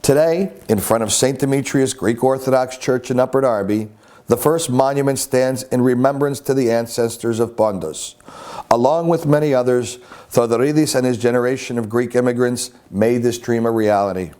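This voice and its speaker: A man hosting an interview, reading a scripted introduction and then asking measured, polite questions. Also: mid-range voice, mellow. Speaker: interview host.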